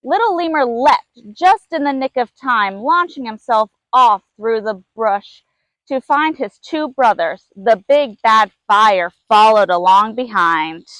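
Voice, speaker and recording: High-voiced woman reading a storybook. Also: slow pace, a bit bright, no echo, low-quality recording. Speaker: zoo keeper reading a story. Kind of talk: children's storybook read-aloud.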